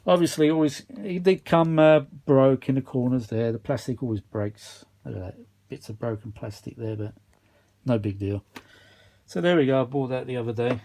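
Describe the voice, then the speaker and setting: A man's voice: mid-range voice, moderate pace, dark tone. Rambling man, casual and mildly weary. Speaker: vintage audio repair vlogger. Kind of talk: casual unscripted workshop chat.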